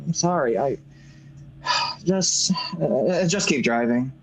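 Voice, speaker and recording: A man's voice: mid-range voice, animated, takes it slowly, rough recording. A man playing a bewildered, desperate family man in a radio play, stammering and hesitating constantly. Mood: agitated. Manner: stammering, hesitant, full of uhs. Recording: faint background noise, clicks.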